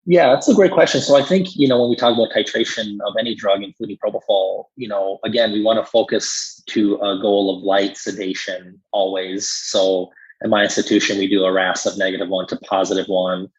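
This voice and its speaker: Low-voiced man explaining, slightly dull audio. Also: fairly even intonation, breathy edge. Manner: explanatory, measured, peppered with "you know". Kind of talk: conversational expert explanation of medical topics.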